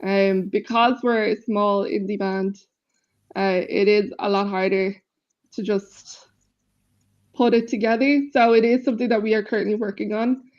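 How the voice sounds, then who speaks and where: A woman with a deep voice talks casually about her band, chatty and easygoing, with lots of ums. Her audio comes through a video call and sounds a bit dull and lo-fi. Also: steady tone, moderate pace, a little mellow. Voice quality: self-described deep voice. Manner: chatty, laughs easily, many fillers. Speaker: band member interviewee. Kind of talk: casual interview chat.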